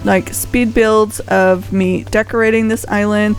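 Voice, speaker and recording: Woman, unhurried, mid-pitched, casual gameplay host, rambling and conversational, music playing behind. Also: little pitch movement. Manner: rambling, conversational, thinking aloud. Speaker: video host showing a game island. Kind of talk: casual unscripted gameplay commentary.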